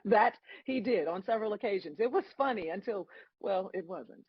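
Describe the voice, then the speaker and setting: A woman playing God as a wry, patient, bemused celestial judge, explaining things in a measured way at a table read of a comedy. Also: medium pitch, expressive, breathy edge, dry recording, highs cut off. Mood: wry, amused.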